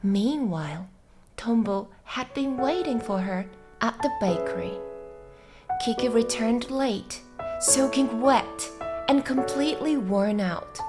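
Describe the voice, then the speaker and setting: A woman who is a native Japanese speaker, softly and carefully reading a story aloud in a slow, measured way for English learners. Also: fairly high pitch, expressive. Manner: soft, measured, careful phrasing. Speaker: English teacher and channel host. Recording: music behind the voice. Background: background music.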